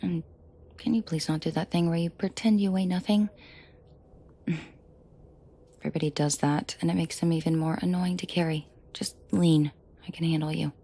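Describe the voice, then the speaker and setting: A woman playing a protective mafia boss in an intimate audio drama, soft and breathy with frequent whispering, commanding and dryly teasing. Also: low voice, steady tone, normal speed, long pauses. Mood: tender, teasing. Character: protective female mafia boss, menacing to henchmen, tender to victim.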